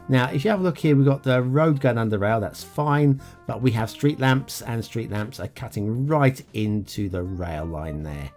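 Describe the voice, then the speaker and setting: A man's voice: mid-range voice, a little mellow. Chatty man reviewing game maps. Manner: chatty, self-mocking, chuckles often, trails off with ums. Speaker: game map reviewer, show host. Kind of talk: casual gameplay commentary.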